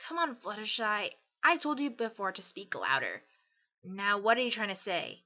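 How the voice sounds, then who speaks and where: A woman's voice: mid-range voice, big pitch swings, normal speed, bright, forceful tone, lo-fi sound. A woman voicing an upbeat, brash but caring female pegasus pony for cartoon fan fiction, with a casual, breezy manner. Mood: concerned, casual.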